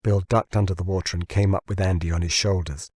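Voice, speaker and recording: Male narrator, deep-pitched, rough-edged, scripted fiction reading, dry close sound. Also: fairly even intonation, quick pace, darkish tone, no room sound. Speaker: audiobook narrator.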